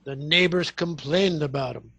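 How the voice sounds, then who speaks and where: An actor playing a genial, folksy, deferential police officer in a radio comedy. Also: male voice, on the high side, normal speed, vocal fry, bright, forceful tone, husky, no echo, full character voice. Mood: folksy, chatty. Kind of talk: radio comedy theatre acting.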